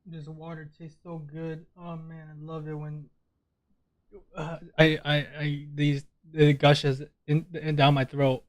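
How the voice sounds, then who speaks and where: A man's voice: on the high side, monotone, slow. A man chatting casually as he streams gameplay. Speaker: gaming streamer.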